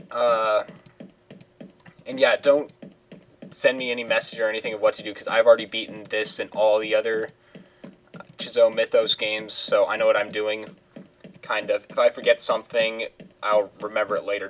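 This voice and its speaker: A young man chats casually as he plays through a game, dropping sarcastic asides. His delivery is loose and offhand, with a middling pitch. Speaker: let's play commentator.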